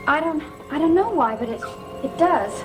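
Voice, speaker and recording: Troubled young woman, high voice, halting, confused, gothic soap opera, loud music underneath. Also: animated. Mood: frightened, confused. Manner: halting, hesitant, repeats herself.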